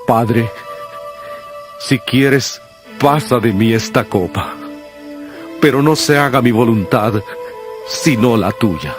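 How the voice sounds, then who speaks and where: A solemn male prophet and teacher in an audio Bible drama. He speaks deliberately, with sorrow and authority, at a middling pitch over clearly heard music. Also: lively intonation. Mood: anguished prayer.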